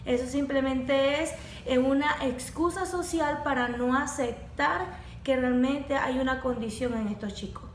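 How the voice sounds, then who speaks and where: A young woman with a high voice explains neurodevelopmental disorders in measured, clinical terms. She speaks as a facilitator on a podcast. The sound is echoey, with faint noise in the room. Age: young adult. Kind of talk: podcast conversation.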